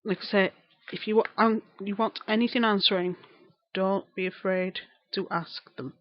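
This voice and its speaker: A woman's voice: medium pitch, fairly even intonation, moderate pace, no room sound, dull audio. A woman in her thirties with a broad Lancashire accent, chatting casually on a live stream, greeting viewers and repeating herself as she rambles. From England. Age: 30s.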